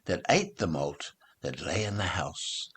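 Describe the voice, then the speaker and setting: Slow, husky man reciting nursery rhymes. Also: sits low, animated, fairly bright tone. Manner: measured, rhythmic delivery.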